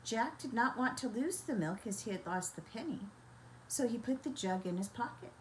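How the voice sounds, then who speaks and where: An older woman storyteller reading a children's bedtime story in a gentle, expressive, grandmotherly manner. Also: a little high, normal speed, slight background noise, rough recording.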